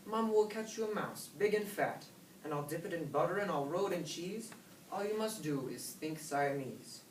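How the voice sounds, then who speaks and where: A man's voice: on the high side, lively intonation, moderate pace, close to an everyday voice. A man voicing a bossy mother cat in a storybook, scolding and coaxing in a sing-song, commanding manner. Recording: roomy, distant, noisy recording.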